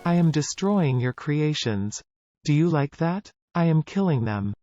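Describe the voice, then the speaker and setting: An actor playing a troubled research subject in an analog horror drama, recounting childhood memories in a solemn, deliberate way. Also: male voice, a little high, expressive, warm tone, dull audio, faint music behind. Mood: desperate, angry. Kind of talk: analog horror found-footage acting, narrative monologue.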